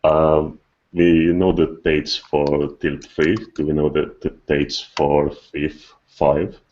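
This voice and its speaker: A man's voice: rumbling low, flat delivery, takes it slowly, darkish tone, lo-fi sound. A man hosting a podcast, casually moderating the discussion. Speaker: podcast host.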